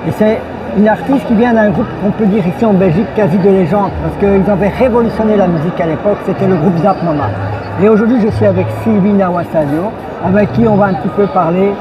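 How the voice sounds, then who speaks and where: A man's voice: high voice, fast, lo-fi sound, crowd noise. A man hosting a casual interview, chatty, who often prompts and finishes his guest's sentences. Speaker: host. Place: outdoors in an urban site. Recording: noisy outdoor recording.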